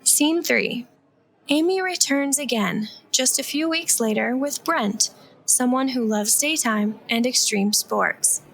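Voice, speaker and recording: A woman's voice: high voice, takes it slowly, creaky, fairly bright tone, rough recording. A woman reading scripted scene narration for a radio play.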